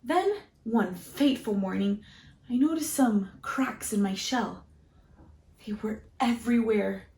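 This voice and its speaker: A woman voicing an earnest, dutiful, anxious egg telling its own story in an expressive storybook read-aloud. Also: on the high side, measured pace, roomy sound, slight background noise. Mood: strained, weary.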